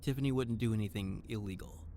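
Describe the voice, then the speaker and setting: An actor playing an earnest, trusting, soft-hearted detective in a noir-style audio drama, a little hesitant. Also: male voice, fairly low pitch, quick pace, a little hiss or hum, lightly acted. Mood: doubtful, defensive. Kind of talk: scripted noir-style audio drama with first-person narration.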